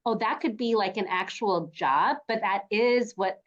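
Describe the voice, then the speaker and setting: A woman's voice: mid-range voice, a little slow. Encouraging woman host, dull recording. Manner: warm, encouraging, affirming. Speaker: podcast host. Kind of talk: interview conversation.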